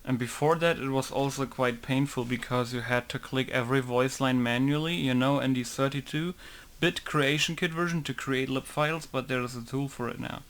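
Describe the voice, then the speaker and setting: A man walks viewers through his game-modding process, his talk relaxed and rambling. His voice sits at an ordinary middle pitch, and he laughs easily as he works. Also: moderate pace, hardly any pauses. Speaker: game modder. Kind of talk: casual screen-recording commentary.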